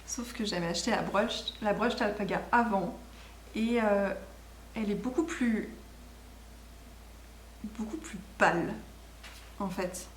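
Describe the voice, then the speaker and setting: A woman with a medium-pitched voice talks casually, as in a homemade video podcast. She is enthusiastic and rambling, often correcting herself mid-thought. The room is echoey, with a little noise behind her. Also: unhurried, pauses often. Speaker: knitting podcast host.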